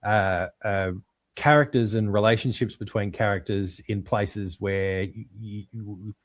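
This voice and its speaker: A male podcast co-host chatting casually about comics, halting and full of ums and restarts but enthusiastic. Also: lowish voice, fairly even intonation, dry recording, clean studio sound.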